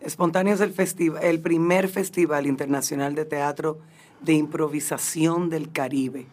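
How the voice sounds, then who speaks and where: A woman's voice: low voice, normal speed. A woman co-hosting, reading event details with fillers. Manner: flat, even reading with fillers. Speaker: co-host.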